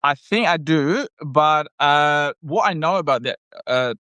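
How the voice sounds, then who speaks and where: A man whose first language is Indonesian, co-hosting a casual podcast chat and explaining things in a measured way with frequent pauses and fillers. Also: a little high, lively intonation, pushed and bright, clear, resonant tone, no echo. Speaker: health product maker and podcast co-host.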